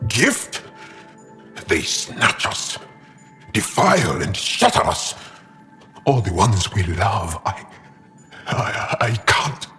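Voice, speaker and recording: An actor playing a big, embittered male alien resistance warrior in a video game, intense and halting. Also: on the low side, huge pitch swings, bright, forceful tone, in a large hall, faint music behind, full character voice. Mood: anguished. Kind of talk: dramatic video game acting.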